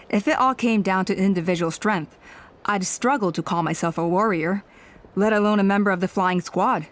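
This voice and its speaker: A woman's voice: mid-range voice, normal speed, lightly acted. Woman as a kindly, wistful female elder. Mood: wistful, humble. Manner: gentle, reminiscing. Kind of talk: video game voice acting.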